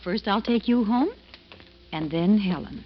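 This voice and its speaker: An actress playing a headstrong, self-possessed woman who is brisk and bossy, in an old-time radio drama. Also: mid-range voice, close to an everyday voice. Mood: firm, bossy. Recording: dull, noisy old radio recording.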